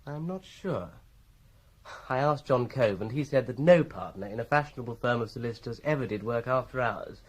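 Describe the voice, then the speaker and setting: An urbane British solicitor played by a male actor in a radio crime drama. His voice is of middling pitch, measured and arch. He explains office life with dry irony and a faintly superior air. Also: expressive, lightly acted. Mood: wry, explanatory. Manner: arch, drily ironic, measured.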